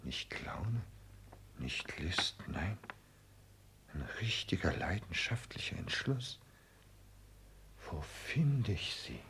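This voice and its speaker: An actor playing an obsequious, calculating private secretary in a radio play, with formal, deliberate diction and a gravelly voice. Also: male voice, deep voice, sing-song, fairly bright tone, whispered, heavily acted. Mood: astonished, calculating. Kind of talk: 1960s German radio play acting. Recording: noisy old 1960s radio recording.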